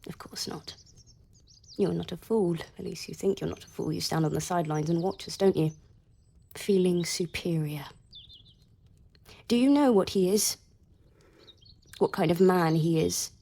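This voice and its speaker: A bitter young gentlewoman ruined by love, with a lowish, husky and mellow voice. She is sardonic and intense in the manner of radio drama, haughty on the surface and wounded underneath. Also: unhurried, long pauses, exaggerated voice. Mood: bitter, challenging. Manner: sardonic, intense, swinging into hushed whispers.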